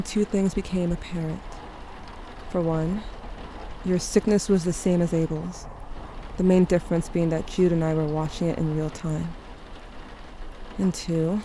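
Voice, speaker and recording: A woman plays a weary, sardonic teenage girl narrating an audio drama. Her voice sits on the low side and she confides as if to someone close. Clear ambient noise runs behind her. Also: warm tone, lightly acted.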